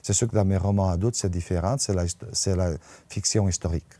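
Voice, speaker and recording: Mellow, low-voiced older Portuguese man. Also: steady tone, brisk, no echo. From northern Portugal. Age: 60s. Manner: hesitant, repeats words as they search for phrasing. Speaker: interviewed writer and sociologist. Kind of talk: conversational interview, personal anecdotes.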